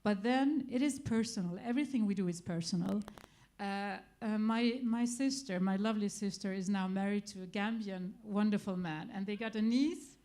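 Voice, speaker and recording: A middle-aged woman with an Arabic accent addresses an audience in English, her third language. She pauses often, repeats words and gropes for phrasing. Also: medium pitch, warm tone. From Iraq. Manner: hesitant, many fillers and restarts. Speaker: festival guest speaker. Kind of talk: spontaneous public talk.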